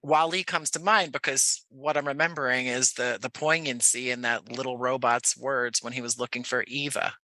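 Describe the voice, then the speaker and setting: Bright-voiced, thoughtful American man. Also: mid-range voice, fairly even intonation, normal speed, few pauses, no room sound. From Jersey City, United States. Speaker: podcast guest, audio playwright. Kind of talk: reflective conversational interview.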